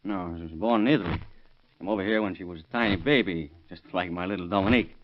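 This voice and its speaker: A hot-tempered young father played by a man from an old radio crime drama. His voice is fairly low, blunt and defensive, quick to get excited. The recording is dull, vintage and lo-fi. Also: a little hiss or hum, close to an everyday voice.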